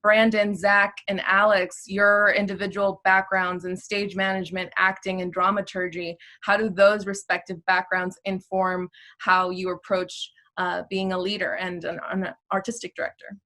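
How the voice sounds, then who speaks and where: Bright-voiced woman interviewer with even pitch. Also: moderate pace. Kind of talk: measured interview questions.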